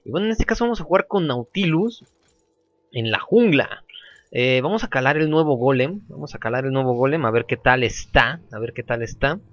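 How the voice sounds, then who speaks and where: A man's voice: medium pitch, a little fast. Casual gamer narrating his match, sore throat, slightly muffled home mic. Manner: relaxed, repeats phrases, chatty. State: sore throat, clearing it often. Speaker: gameplay commentator. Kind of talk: casual gameplay commentary.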